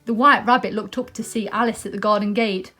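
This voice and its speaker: A woman's voice: medium pitch, fairly even intonation, brisk, pushed and bright, faint music behind. A British woman presenting and reading a children's story aloud.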